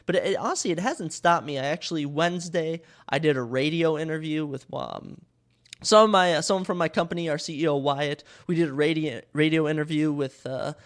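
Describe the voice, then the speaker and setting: A young man with a slightly higher voice hosts his own sports podcast, talking loosely and rambling with lots of fillers. His nose is stuffed up from a cold, which gives every line a blocked, congested sound. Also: brisk. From Minnesota. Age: young adult. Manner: rambling, talkative, many fillers and restarts. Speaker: podcast host. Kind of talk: casual solo sports podcast.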